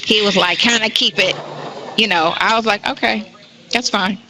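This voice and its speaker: A woman in her fifties with a bright, sharp-edged voice at a middling pitch talks casually on a private call. Her delivery is gossipy, blunt and slangy, and she slips into quoting other people as she tells a story. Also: steady tone, moderate pace, a little hiss or hum, rough recording. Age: 50s. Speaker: caller on a prison call. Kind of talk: casual gossipy phone chat.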